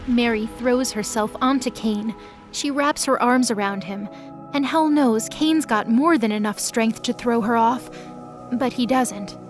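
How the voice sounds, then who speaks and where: Female narrator, fairly high voice, dramatic scripted storytelling over clear background music. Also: measured pace.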